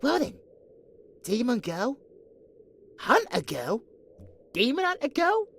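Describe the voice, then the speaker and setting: A woman plays a chatty, anxious sidekick. Her voice is fairly high and very animated, with some fry in it. She sounds casual, excitable and exasperated in this fantasy drama. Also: slow pace, dry recording, heavily acted. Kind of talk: fantasy audio drama acting.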